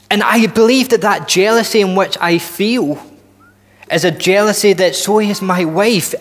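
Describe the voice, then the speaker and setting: A man in his thirties preaching a sermon in a church hall on a headset mic, earnest and deliberate, often restarting and building emphasis. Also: high voice, fairly bright tone. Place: church hall with long reverb.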